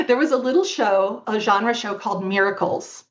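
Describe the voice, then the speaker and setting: A woman's voice: medium pitch, unhurried, highs cut off. A woman chatting casually as an interview guest, explaining and recommending things in an enthusiastic, emphatic way. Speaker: podcast guest, longtime fan fiction author and artist.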